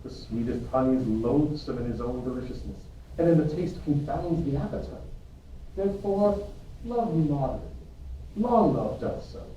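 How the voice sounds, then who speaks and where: A man's voice: on the high side, expressive, moderate pace, warm tone, natural voice. Man playing a Shakespearean friar, very echoey. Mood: solemn. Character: Shakespearean friar, kindly mentor musing and scolding. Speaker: English teacher. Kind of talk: amateur Shakespeare reading. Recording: roomy, distant, dull, faint noise.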